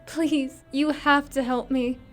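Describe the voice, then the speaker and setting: A woman's voice: high-pitched, fairly even intonation, slow pace, faint music behind. A woman playing a pleading 1940s femme fatale in a noir radio drama.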